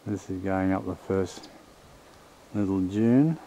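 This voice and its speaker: A man's voice: sits low, warm tone, poor audio. An older man, casually narrating a home video while filming on a windy beach. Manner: slow, matter-of-fact remarks while filming. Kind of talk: casual travel commentary. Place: outdoors on windy beach and dunes. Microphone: camcorder mic. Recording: windy outdoor camcorder audio.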